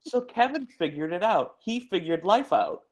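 A man's voice: high voice, big pitch swings, normal speed, a little mellow. A man hosting a podcast, joking and self-deprecating, with hesitant fillers as he leads a casual interview. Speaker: podcast host.

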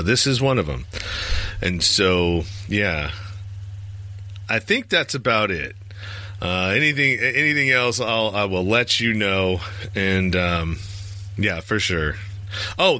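A man's voice: low-pitched, sharp tone, no echo. A man hosting a podcast, talking in a loose, rambling, casual way. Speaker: podcast host.

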